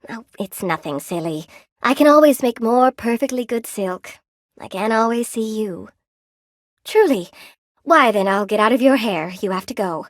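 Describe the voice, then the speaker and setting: An actress voicing a gentle, lonely spider-woman shopkeeper in a video game, polite and sweetly attentive, with old-fashioned genteel phrasing. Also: fairly high pitch, expressive, moderate pace, dry recording, studio quality, natural voice. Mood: warm, welcoming. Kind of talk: video game character voice acting.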